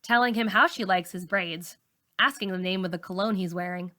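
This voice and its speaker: A woman teacher reading a novel aloud, with expressive, deliberate storybook narration. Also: lowish voice.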